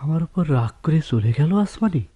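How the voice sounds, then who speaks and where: An actor playing a shy, dreamy village poet in love in a radio drama, speaking hesitantly and lyrically with a rural Bangladeshi accent. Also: male voice, on the high side, expressive, brisk, close to an everyday voice.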